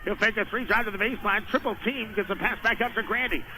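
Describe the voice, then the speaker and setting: A man doing live radio play-by-play of a basketball game in clipped phrases, rising to excited shouts on big plays. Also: sits high, fast talker. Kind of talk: live radio sports commentary. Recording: noisy radio broadcast from a gym.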